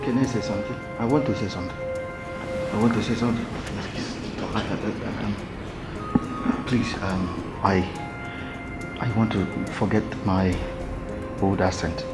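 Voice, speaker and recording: A man's voice: on the low side, fairly even intonation, loud music behind the voice, natural voice. An actor playing a scheming prince who stammers as he speaks. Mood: remorseful. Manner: stammering, halting.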